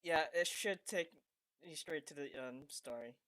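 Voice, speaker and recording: A woman's voice: low-pitched, a little slow. A woman game streamer giving casual, informal livestream commentary with hesitant phrasing. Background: loud game music.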